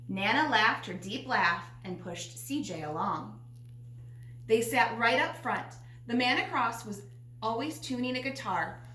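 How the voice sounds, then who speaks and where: A woman's voice: on the high side, fairly bright tone. A woman reading a children's picture book aloud as narrator. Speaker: storybook reader. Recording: roomy echo, faint background noise.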